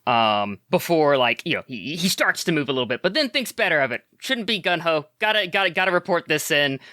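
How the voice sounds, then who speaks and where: A man's voice: fairly high pitch, expressive, a little fast, bright, forceful tone, dry recording, clean studio sound. A man playing a tabletop game as a guest, thinking aloud hesitantly. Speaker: player guest.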